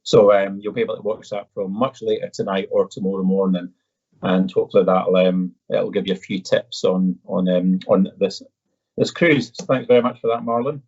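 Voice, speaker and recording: Low-voiced Edinburgh Scottish man. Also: steady tone, normal speed, darkish tone, breathy edge. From Edinburgh, Scotland. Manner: hesitant, polite, conversational. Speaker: presenter answering viewer questions. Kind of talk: casual live question-and-answer presentation. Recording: dull, slightly muffled audio.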